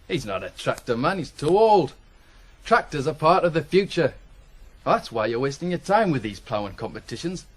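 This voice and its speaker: An actor playing a restless, scornful young farm lad in a radio drama. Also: male voice, a little high, big pitch swings, normal speed. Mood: fed up. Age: young adult. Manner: blunt, mocking. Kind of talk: naturalistic radio drama acting.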